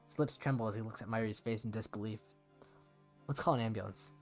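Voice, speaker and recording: A man's voice: lowish voice, fast, faint music behind, natural voice. A man voicing a guilt-ridden, despairing young man who narrates his own visual novel story, somber and introspective. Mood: grim, numb.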